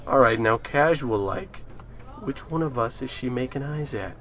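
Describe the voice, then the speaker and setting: A man's voice: mid-range voice, animated, normal speed, fairly bright tone, slight background noise, natural voice. A man playing a cocky, scheming barfly pal in an old-style radio comedy, wisecracking in period slang. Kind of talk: 1940s radio acting.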